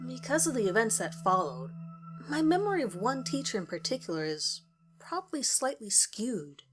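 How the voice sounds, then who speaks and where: A woman reads a first-person horror story as narrator, in measured, deliberate phrases with pauses. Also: a little high, sing-song. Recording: music under the voice. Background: background music.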